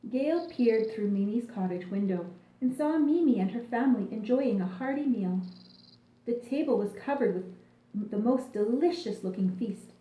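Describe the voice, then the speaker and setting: Expressive, mellow woman storyteller, echoey room. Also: fairly high pitch. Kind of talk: scripted children's story narration.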